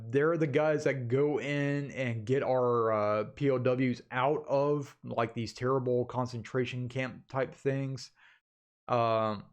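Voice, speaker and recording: A man hosting a channel, talking informally and chattily to his viewers in a casual, rambling vlog style. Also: mid-range voice. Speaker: YouTube channel host.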